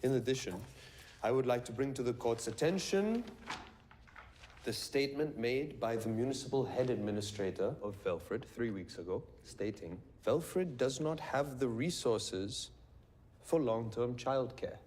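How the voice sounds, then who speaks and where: A man's voice: medium pitch, animated, darkish tone. An actor playing a male defense lawyer who argues formally and steadily in a courtroom. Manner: formal, measured arguing. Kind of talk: film courtroom drama.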